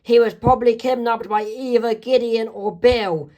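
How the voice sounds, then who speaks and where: A woman doing a young cartoon girl with a high, lifted voice that sounds anxious and earnest. She reads loud and pushes the words out, a storybook hero in a fan reading. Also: flat delivery, takes it slowly, lo-fi sound. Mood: worried.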